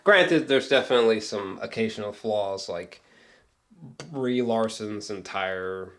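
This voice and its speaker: A man's voice: lowish voice, measured pace, fairly bright tone. A man giving a casual, rambling film review with geeky, awed enthusiasm, often hesitating, trailing off and swearing casually.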